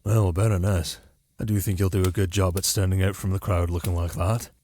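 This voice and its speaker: A man's voice: low-pitched, little pitch movement, brisk, warm tone, slight background noise, close to an everyday voice. A man playing a wry young noble heir and plotter in a fantasy audio drama, speaking drily and formally. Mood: wry, conspiratorial.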